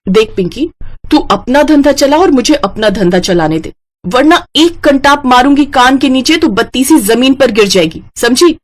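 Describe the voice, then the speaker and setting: A plucky young village snack seller voiced by a woman in a kids' cartoon. She is high-pitched and scrappy, as ready to hawk her food as to stand up for herself. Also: lively intonation, normal speed. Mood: angry, threatening. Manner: loud hawking and scrappy scolding. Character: feisty hardworking young village woman selling pani puri. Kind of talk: children's cartoon story acting.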